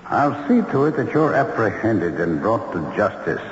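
Echoing, measured male ghost. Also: lowish voice, very animated. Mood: calm menace. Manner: measured, formal, well-spoken. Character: calm, principled male ghost, protective and quietly menacing. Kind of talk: vintage radio drama acting. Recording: dull, noisy old radio, echoey hall reverb.

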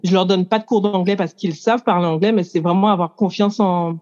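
A woman in her thirties, a coaching client, explaining her situation in a casual, hesitant way with many pauses and restarts. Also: fairly low pitch, fairly even intonation, a little mellow, highs cut off. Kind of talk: casual chat. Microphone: handheld mic.

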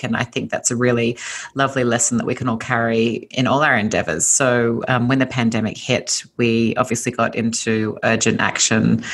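A woman with an Australian accent talks in a low, breathy voice. She is a chatty interview guest who laughs easily and fills her speech with casual asides. She sounds middle-aged and relaxed. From Sydney, Australia. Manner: chatty, laughs often, filler-heavy with "like" and "you know". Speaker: guest, business founder and author.

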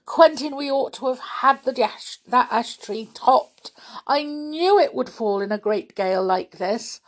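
A woman voicing a gentle, worrying aunt in a storybook reading. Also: high voice, expressive, unhurried, pitched above the performer's own voice, low-quality recording. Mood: dismayed.

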